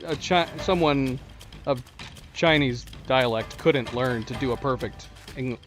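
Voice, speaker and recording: A man's voice: mid-range voice, unhurried. A man with an American accent giving casual live commentary while playing a video game, chatting with viewers and laughing often. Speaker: gaming streamer. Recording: game music underneath.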